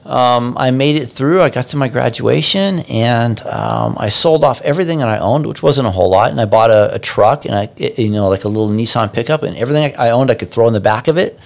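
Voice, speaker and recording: A man's voice: medium pitch, quick pace, vocal fry, few pauses. A middle-aged man in casual conversation as a guest, telling stories animatedly and acting out the voices of people in them. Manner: animated, acts out voices in anecdotes. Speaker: podcast guest. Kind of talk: casual storytelling.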